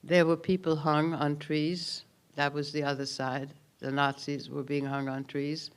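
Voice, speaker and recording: Man, 80s, Hungarian accent; memorial keynote testimony, calm, dry understatement. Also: fairly high pitch, a little slow, smooth. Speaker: Holocaust survivor giving a keynote. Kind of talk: personal testimony speech at a memorial ceremony.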